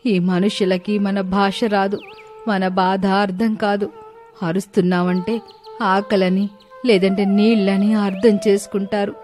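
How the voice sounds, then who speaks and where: A woman's voice: mid-range voice, level tone, measured pace, darkish tone, soft background music, close to an everyday voice. A woman voicing a distressed, loving mother cow in a storybook tale, lamenting and pleading. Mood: worried, resolute.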